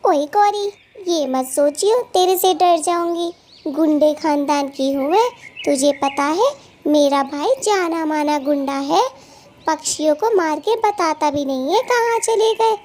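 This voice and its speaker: Woman voicing a high-pitched, scolding cartoon bird lady. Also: a little slow, darkish tone. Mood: furious, threatening. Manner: scolding, emphatic, taunting. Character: quarrelsome, hot-tempered female cartoon birds trading insults. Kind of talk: animated cartoon dialogue.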